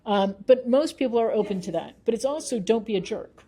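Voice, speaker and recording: Mellow-voiced woman, emphatic in conversation. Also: on the high side, animated, fast talker. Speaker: interviewee, researcher and writer. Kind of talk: conversational interview.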